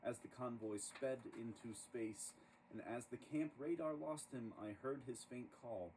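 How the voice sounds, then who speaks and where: A man reading a rhyming children's story aloud in an expressive, dramatic storytelling manner. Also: mid-range voice, measured pace. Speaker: storybook reader. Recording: distant microphone with clear background noise.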